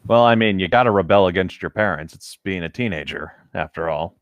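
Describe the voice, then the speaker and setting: A man co-hosting in casual chat, reacting with playful, slightly halting asides. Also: low voice, no echo, highs cut off. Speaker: co-host.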